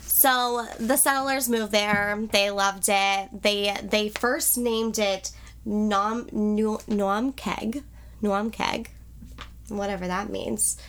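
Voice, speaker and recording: A woman's voice: mid-range voice, steady tone, slow, a bit bright, smooth. Nasal woman with a cold. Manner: bubbly, self-deprecating, laughs often. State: congested from a cold. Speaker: podcast co-host. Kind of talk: casual chat, telling history.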